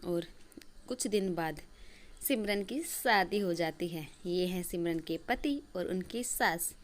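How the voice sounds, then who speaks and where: A woman's voice: lowish voice, slow pace. A woman storyteller narrating a simple moral tale for a cartoon.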